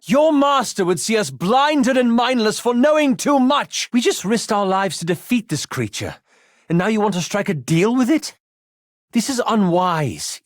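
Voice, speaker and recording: A man's voice: high-pitched, no room sound, heavily acted. A man voicing a scholarly male elf wizard in a video game, with precise, formal diction and a dry, wryly sarcastic manner. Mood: alarmed, indignant. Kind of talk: video game character dialogue.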